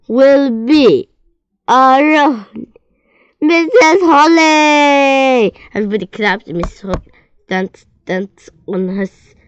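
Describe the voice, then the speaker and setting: A woman reading a children's story aloud, expressive and often stumbling and repeating words. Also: fairly high pitch, no room sound, highs cut off.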